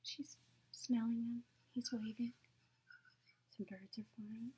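High, husky young woman reading softly. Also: steady tone, takes it slowly. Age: young adult. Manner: soft, hushed, breathy, gently questioning. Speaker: Montessori teacher reading picture books. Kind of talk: children's story time, narrating picture books. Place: small room at home, indoors.

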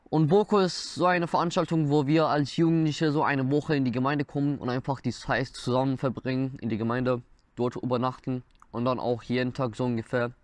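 A man's voice: medium pitch, no echo. A nineteen-year-old man from Iran, sharing a personal testimony in earnest, slightly non-native German while seated before a camera indoors. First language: Persian. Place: indoors, dark wooden wall behind. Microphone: camera in front, seated mid-shot.

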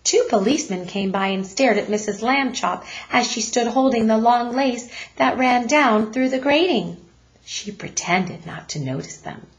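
A woman reading a children's story aloud like a teacher, deliberate and expressive. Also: on the high side, rough recording.

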